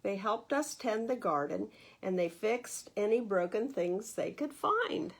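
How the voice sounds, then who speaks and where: A woman with a medium-pitched voice reads a children's picture book aloud. She is expressive in the manner of a library storytime host, slipping between the story and gentle, chatty questions for young listeners. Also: unhurried.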